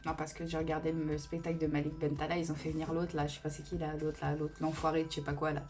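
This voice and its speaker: A woman's voice: low-pitched, completely flat delivery, fast talker, a little mellow, faint music behind. A woman in her thirties answering viewer questions in a casual beauty vlog, chatty and digressive with many fillers. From Italian family roots. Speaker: beauty vlogger. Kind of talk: casual Q&A chat.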